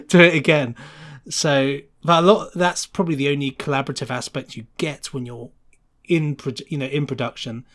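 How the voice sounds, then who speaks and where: A man with a British accent giving a casual podcast interview as a sound designer, explaining his working process and illustrating points with imagined quotes. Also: medium pitch.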